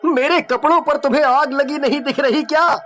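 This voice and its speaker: Man acting a very high-pitched, hapless villager. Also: moderate pace, a bit bright, faint music behind. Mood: panicked, pleading. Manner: pleading, stammering when frightened. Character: fearful, hapless, self-pitying village man with guilty secret. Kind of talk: melodramatic Hindi cartoon acting.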